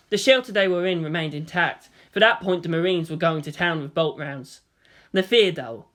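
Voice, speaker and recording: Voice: medium pitch, fairly bright tone. A narrator reading scripted fiction in a dramatic storytelling style.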